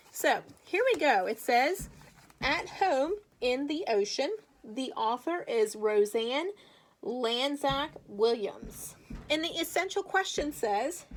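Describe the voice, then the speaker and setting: A woman teacher reading aloud to young children with chatty asides, speaking deliberately and putting questions to her listeners in an encouraging way. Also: fairly high pitch. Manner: slow, deliberate, emphatic when reading aloud.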